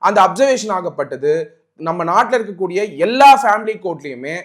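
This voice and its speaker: A man with a Tamil accent speaks in a distinctly high register. He presents a legal explainer like an advocate teaching students, methodically restating each key point. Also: animated, fairly quick, a bit bright. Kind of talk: explanatory legal talk mixing Tamil and English.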